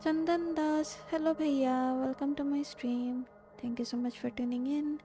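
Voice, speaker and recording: High, mellow Indian woman streamer. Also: fairly even intonation, unhurried, faint music behind. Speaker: game streamer. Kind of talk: casual livestream chat and reactions.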